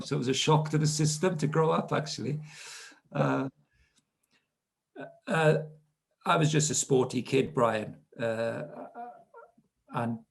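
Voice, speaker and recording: A man's voice: medium pitch, creaky. A man in his fifties with a Welsh accent from South Wales, telling stories about his life as a guest in an interview, self-deprecating and chatty. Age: 50s. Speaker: guest speaker. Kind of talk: relaxed storytelling interview.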